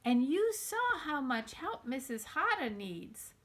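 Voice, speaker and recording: Woman as a careful, high-voiced storybook mother. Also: sing-song, slow pace, full character voice. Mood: careful persuasion. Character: gentle mother carefully broaching a plan.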